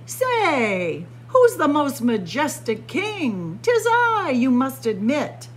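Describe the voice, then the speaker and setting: Boastful pint-sized picture-book monarch, played by a female reader. Pompous and bossy, drawn-out animated delivery, slight noise behind. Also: high voice, voice pushed up, heavily acted. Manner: pompous, declaiming. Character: vain, boastful, bossy little storybook king.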